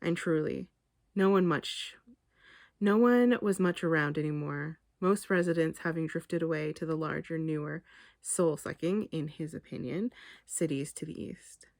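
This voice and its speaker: A woman author reading her short story aloud in a measured, expressive literary narration. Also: sits low, no echo, studio quality.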